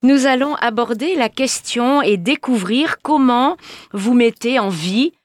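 A woman's voice: fairly high pitch, a little slow, no echo. A woman hosting a radio interview, addressing her listeners and putting questions to a guest.

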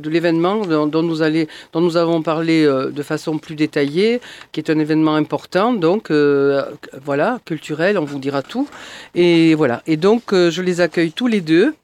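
A woman from France hosting a casual local radio interview, talking in a rambling, halting way. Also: fairly low pitch, darkish tone. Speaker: radio host.

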